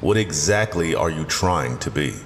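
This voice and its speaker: An actor playing a wise, stern older garage owner and community leader in an audio drama, plain-spoken and authoritative. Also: male voice, rumbling low, animated, moderate pace. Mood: fatherly, knowing. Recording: long reverb, music underneath.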